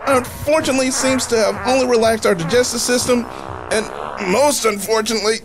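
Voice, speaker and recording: An actor playing a nerdy, earnest inventor who explains technical things precisely in a comedy radio drama. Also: male voice, very high-pitched, a little fast, over music. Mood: anguished.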